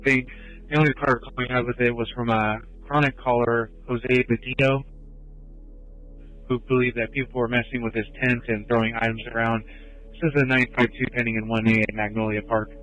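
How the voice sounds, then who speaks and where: Flat-toned male police radio dispatcher. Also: medium pitch, brisk, low-quality recording. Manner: matter-of-fact, procedural. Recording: police scanner with faint music.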